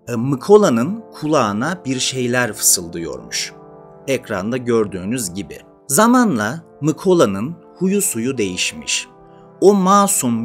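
A man's voice: medium pitch, a bit bright. A man in his thirties telling a mock fairy tale as a show host, theatrically and wryly, with asides to his viewers. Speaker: video essay and podcast host. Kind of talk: animated storytelling with asides to viewers. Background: music.

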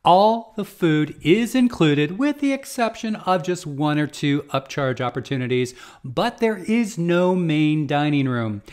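An American man in his fifties speaks in a slightly high voice, close to a desktop microphone in a small home studio. He delivers a guide in the manner of a travel vlogger, laying out each point in measured steps and doubling words for emphasis. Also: expressive, a little mellow, clear, resonant tone. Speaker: cruise travel vlogger and agent. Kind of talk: explanatory talk to camera, semi-scripted guide. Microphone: close desktop condenser microphone.

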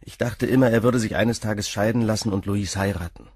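A man's voice: fairly low pitch, fairly quick, a little mellow, husky, no room sound, studio quality. An actor playing a nervous, agitated young man under questioning in a radio drama, answering hesitantly. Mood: anxious. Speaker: suspect being questioned.

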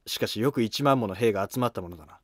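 A man's voice: on the low side, racing, no room sound, clean studio sound, close to an everyday voice. A man voicing a grave, weary senior court minister in a historical drama, speaking with formal authority. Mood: troubled.

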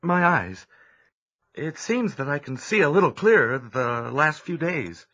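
An actor playing an earnest nineteenth-century farm man telling his life story in an audio drama, reflective and measured, with music behind him. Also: a little high, sing-song, fairly bright tone, full character voice. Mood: hesitant hope. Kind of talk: audio drama first-person narration.

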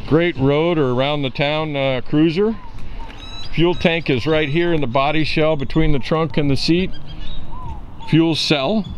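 A man giving a casual walkaround of a car, calmly listing its features with a few fillers, recorded outdoors on a handheld camera. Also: fairly high pitch, a little slow, muffled recording, a little hiss or hum. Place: outdoors in a park parking lot.

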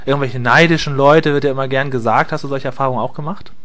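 A man's voice: medium pitch, fairly even intonation, fast talker, fairly bright tone. A man hosting an interview show in an informal, jokey way. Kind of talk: interview moderation. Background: music.

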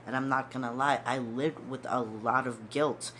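A man's voice: mid-range voice, steady tone, normal speed, a bit bright, slight background noise. A young man in his twenties, a medical student, talking casually and earnestly to camera from a small room at home, sounding stuffy and repeating words for emphasis. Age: 20s. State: stuffy nose. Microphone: seated facing camera at close range.

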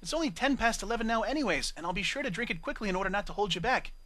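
A man's voice: high-pitched, lively intonation, rapid-fire, a bit bright, no echo, voice pushed up. A man voicing a haughty, refined female cellist pony in a storybook reading, airy and polite, turning indignant.

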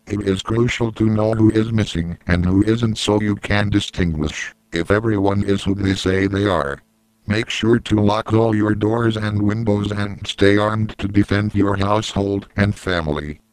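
Male actor as emergency broadcast announcer, low-pitched, crisp, grave, impersonal, deadpan, analog horror. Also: no echo, close to an everyday voice, rough recording.

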